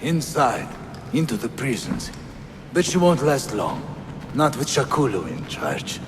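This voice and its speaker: A scornful guard in a video game, trading gossip smugly. His delivery is unhurried and expressive, with reverb and clear noise behind it. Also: medium pitch. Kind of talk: video game cutscene acting. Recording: echoing, noisy background.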